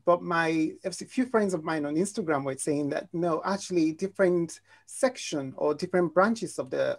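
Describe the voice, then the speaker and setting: A young adult woman with a Nigerian accent and a fairly low voice, speaking over a video call from a room at home. She recalls her childhood in a reflective, unhurried way, pausing on fillers and restarting sentences. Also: darkish tone. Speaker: interview guest. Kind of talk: personal storytelling in an interview.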